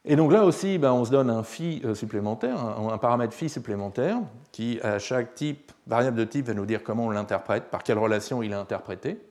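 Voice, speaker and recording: Guy lecturing, bit hoarse, lots of euh and restarts, mid-pitched voice. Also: brisk, hardly any pauses, darkish tone. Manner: hesitant, many fillers and restarts, checks understanding. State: slightly hoarse. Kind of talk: university lecture.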